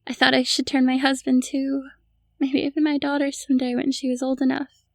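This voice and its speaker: Woman acting a high-voiced, guilt-ridden vampire mother. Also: completely flat delivery, dry recording. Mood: subdued, confessional. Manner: hesitant, halting, pleading. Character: guilt-ridden newly turned vampire mother, pleading, grieving. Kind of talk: cinematic audio roleplay acting.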